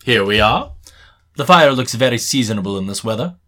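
A man playing a shrewd, courteous but commanding gentleman detective in a dramatised Victorian story, speaking precisely and formally with quiet mockery. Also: medium pitch, expressive, fairly quick, natural voice. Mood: knowing, brisk.

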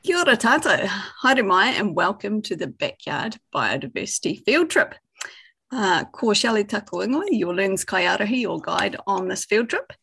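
A woman's voice: mid-range voice, big pitch swings, moderate pace, creaky, bright, forceful tone, slightly rough. A woman from New Zealand guiding an educational web conference in a friendly, organised way, opening with a chanted prayer. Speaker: host and field trip guide. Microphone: video call.

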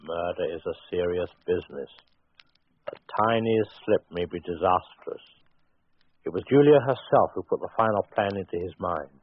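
An actor narrating a 1940s radio suspense drama as a wry, sinister storyteller, deliberate and sardonic. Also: male voice, sits low, animated, a little hiss or hum. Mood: ominous, sardonic. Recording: tinny 1940s radio recording over music.

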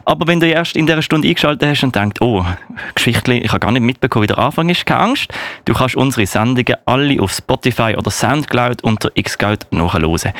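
A man's voice: mid-range voice, a bit bright, dry recording. Swiss German male host, dry close sound. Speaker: radio host. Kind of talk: casual live radio presenting.

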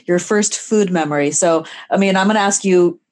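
A co-host with a mid-range voice asks loose, rambling questions, stopping and restarting with fillers. The talk is a relaxed podcast interview. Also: medium pitch, moderate pace, slight background noise.

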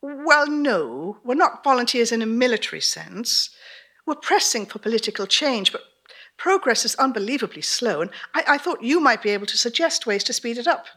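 A woman's voice: on the high side, big pitch swings, normal speed, pushed and bright, exaggerated voice. A woman playing an earnest, polite young Irish campaigner, frustrated and apologetic, in a theatrical storytelling performance.

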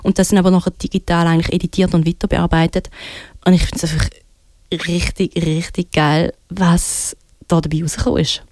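A woman's voice: fairly low pitch, little pitch movement, a little fast, darkish tone, no echo. A woman with a Swiss German accent presenting music on the radio in a casual, enthusiastic, informal way. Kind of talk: casual chat introducing music.

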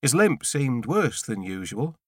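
A man's voice: medium pitch, sing-song, unhurried, no room sound. Man narrating a novel, dry studio sound. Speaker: audiobook narrator. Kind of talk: scripted first-person novel narration.

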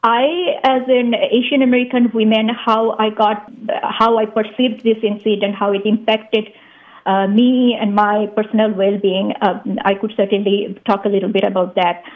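A woman's voice: medium pitch, fairly even intonation, moderate pace. A woman from Bangladesh, a guest speaking carefully with many hesitations over the phone. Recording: phone line.

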